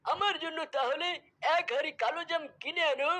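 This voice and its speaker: A woman voicing a gullible, impatient, sweet-loving doctor in a comedy cartoon, with a brisk, querulous manner. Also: high voice, fairly bright tone. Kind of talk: comedy cartoon character dialogue.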